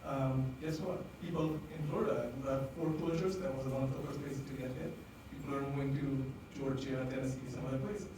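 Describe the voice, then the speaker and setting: A man's voice: mid-range voice, little pitch movement, a little fast, few pauses. A man with an Indian accent telling personal stories to an audience in a conversational, candid way, with many fillers. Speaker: speaker at a design event. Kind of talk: storytelling talk to an audience. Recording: echoey room, distant mic, ambient noise.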